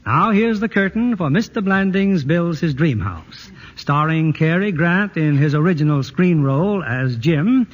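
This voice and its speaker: A man's voice: a little high, animated, measured pace. A man announcing and narrating in the polished, theatrical scripted style of 1940s radio. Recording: muffled old radio broadcast. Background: music.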